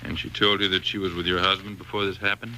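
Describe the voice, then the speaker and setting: Stern doctor, very sharp-toned. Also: male voice, low voice, little pitch movement. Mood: grave. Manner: deliberate, firm, authoritative. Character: stern, authoritative male doctor, measured and protective. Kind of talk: 1940s radio thriller acting. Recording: noisy vintage 1940s radio recording.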